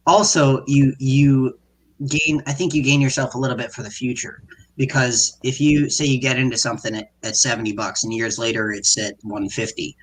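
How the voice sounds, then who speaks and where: A man's voice: medium pitch, husky, low-quality recording. A man on a live panel talk show who rambles through his points with many restarts. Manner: rambling, full of fillers and restarts.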